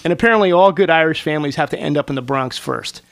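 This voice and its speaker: A man's voice: fairly high pitch, quick pace, creaky, fairly bright tone. A man in his forties hosting a casual interview in a chatty, digressive way. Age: 40s. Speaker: interviewer, show host.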